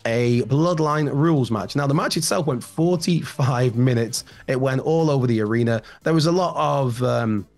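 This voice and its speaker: A man in his thirties hosting a solo wrestling news show, his voice slightly hoarse and raspy, chatty and rambling with fillers and asides, recorded at home on a studio mic. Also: medium pitch, normal speed, hardly any pauses, darkish tone. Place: home room with shelves, indoors. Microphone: large studio mic in front, headphones.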